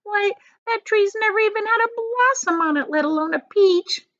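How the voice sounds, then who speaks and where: A woman's voice: very high voice, normal speed, fairly bright tone, highs cut off, pitched above the performer's own voice. A woman voicing a fat, greedy storybook aunt, bossy and scornful, in a demanding, exclamatory way. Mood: scornful disbelief.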